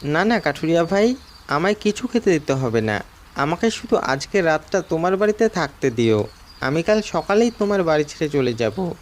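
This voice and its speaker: A man voicing a gentle, grateful injured myna bird in a cartoon story, speaking politely and pleadingly. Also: on the high side, big pitch swings, moderate pace. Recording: music behind the voice.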